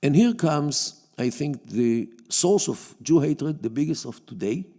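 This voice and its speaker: A man whose first language is Yiddish lectures to an audience in a synagogue hall. He speaks haltingly, with frequent fillers and restarts, in a dark, gravelly voice pitched a little above most men's. A long reverb from the hall trails each phrase. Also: lively intonation. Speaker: guest lecturer. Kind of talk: public lecture.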